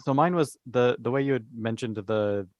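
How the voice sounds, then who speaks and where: A man's voice: mid-range voice, moderate pace, darkish tone, no room sound, dull audio. A man talking casually as a panelist in a podcast discussion.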